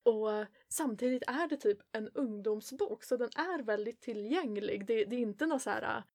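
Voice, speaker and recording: A woman's voice: fairly high pitch, normal speed, darkish tone. A woman co-hosting a podcast, talking about books in a reflective, casual way. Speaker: podcast co-host. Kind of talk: casual book discussion.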